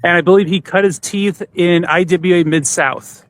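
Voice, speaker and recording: A man's voice: high-pitched, steady tone, normal speed. A man chatting casually as a panelist on a podcast.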